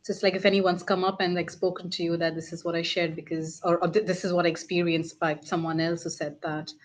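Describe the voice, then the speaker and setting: A woman's voice: fairly low pitch, level tone, fast talker. A woman moderating an online webinar over a video call, thanking speakers and posing broad, musing questions. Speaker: host.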